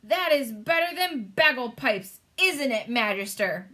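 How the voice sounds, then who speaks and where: A woman's voice: on the high side, lively intonation, a little slow, background noise, pitched above the performer's own voice. A woman voicing a big, friendly male giant from a storybook, childlike and boisterous, exclaiming in garbled words. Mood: gleeful.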